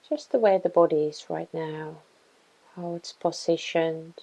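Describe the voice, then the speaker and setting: A woman guiding a body scan meditation in a gentle, soothing, unhurried way, leaving pauses between phrases. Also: lowish voice, creaky, poor audio. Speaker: meditation guide.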